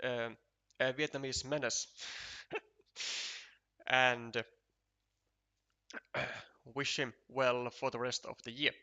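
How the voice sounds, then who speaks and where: A man with a Finnish accent giving casual, unhurried commentary on a board game match for a live stream, chatty and full of fillers. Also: medium pitch, flat delivery, pushed and bright, smooth, muffled recording.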